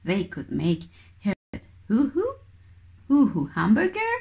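Very slow, expressive New woman reading. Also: medium pitch, gravelly. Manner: expressive, deliberate phrasing. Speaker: children's book reader. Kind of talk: storybook read-aloud for young children.

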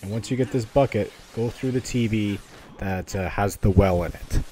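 A man's voice: fairly low pitch, normal speed, mellow. A man giving a casual video game walkthrough in an informal, slightly hesitant, conversational way. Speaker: video game guide host. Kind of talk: casual gameplay walkthrough commentary.

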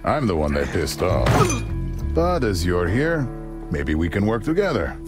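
An actor playing a big, gruff, cocky Mexican trapper with a wry, unhurried delivery in a western video game. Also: male voice, low-pitched, big pitch swings, loud music behind the voice. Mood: defiant, urging. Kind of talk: video game cutscene acting.

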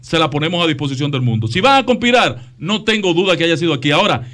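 High-voiced middle-aged Dominican man, insistent. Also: big pitch swings, fast, a bit bright. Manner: insistent, repeats phrases for emphasis. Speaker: radio talk show panelist. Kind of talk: panel debate. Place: radio studio. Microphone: studio microphone.

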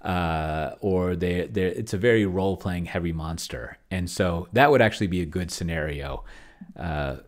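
A middle-aged man with a low voice hosts an unscripted video walkthrough. He thinks out loud in a rambling way, often dropping one thought to start another. He is speaking into a close desk microphone indoors. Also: moderate pace. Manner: rambling, trailing off mid-thought. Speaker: video host. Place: indoor room at home, desk setup. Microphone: desk microphone close to the mouth.

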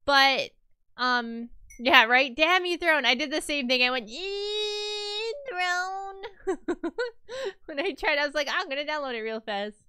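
A woman's voice: high-pitched, animated, slow pace, sharp tone, no room sound, clean studio sound. A woman livestreamer chatting casually with her viewers, a little stuffy from a cold, rambling with fillers and restarts.

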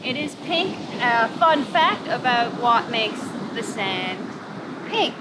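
A woman's voice: on the high side, very animated, takes it slowly, background noise. A middle-aged woman chatting casually to a handheld camera outdoors as a travel vlogger. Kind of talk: casual vlog chat. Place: outdoors on boat, paths and open beach.

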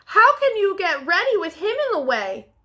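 A woman's voice: very high voice, very animated, normal speed, sharp tone, pitched above the performer's own voice, heavily acted. A woman voicing anxious little woodland animals in a storybook, worried and pleading. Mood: dismayed.

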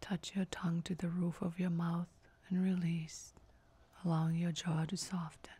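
A woman leading a scripted guided relaxation meditation in a soft, breathy, near-whispered voice, giving calm, soothing, measured instructions. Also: on the low side. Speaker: yoga nidra meditation guide.

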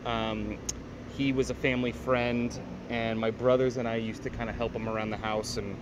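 A man from Northern California, an artist being interviewed outdoors, speaking in a relaxed, storytelling way with many uhs. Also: medium pitch, moderate pace. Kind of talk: casual on-street interview. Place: outdoors on a city street. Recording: dull, noisy street audio.

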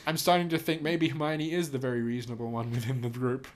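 A man's voice: medium pitch, little pitch movement, fast talker. A young man reading a text aloud and adding casual, joking, sweary commentary in an amused way. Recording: noticeable background noise.

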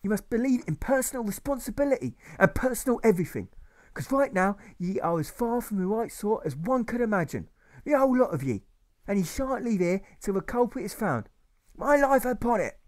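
A man's voice: high voice, sing-song, a little fast, voice pushed up. Man doing a ranting tyrant schoolteacher. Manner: ranting, yelling, archaic speech. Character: furious authoritarian old male schoolteacher.

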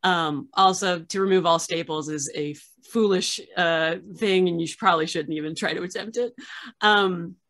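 Thirties woman, low-leaning voice, casual animated host on a home video call. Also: normal speed. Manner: chatty, animated, laughs often. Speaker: archivist and show host. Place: home room with framed pictures on yellow wall.

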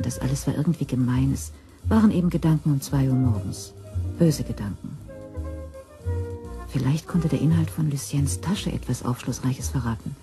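A man narrating a scripted German radio crime drama. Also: mid-range voice.

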